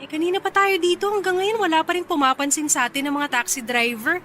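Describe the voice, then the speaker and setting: An actress playing a proud, easily irritated but bashful young office boss in a Filipino radio drama, with a Filipino accent. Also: female voice, high voice, steady tone, quick pace, fairly bright tone, a little hiss or hum. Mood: exasperated. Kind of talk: radio drama acting in Taglish.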